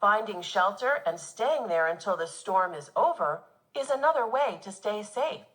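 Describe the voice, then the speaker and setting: A woman teacher reading a nonfiction children's book aloud in an expressive, instructive way for young pupils. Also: mid-range voice, moderate pace, poor audio.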